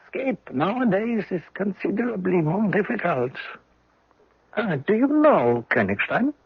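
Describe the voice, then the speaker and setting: An actor playing a boastful middle-aged German army captain with a German accent in a radio drama. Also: male voice, high voice, big pitch swings, measured pace, creaky, slightly rough, no room sound, full character voice. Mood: gloating. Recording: muffled old radio broadcast.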